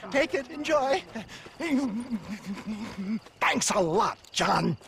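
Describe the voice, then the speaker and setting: A high-voiced snake-oil huckster. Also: male voice, very slow, creaky, a bit bright, slight background noise, heavily acted. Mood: evasive. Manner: theatrical sales patter, chuckling, blustering. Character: smooth-talking male snake-oil huckster, showy and evasive. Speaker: traveling tonic salesman. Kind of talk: video game cutscene voice acting.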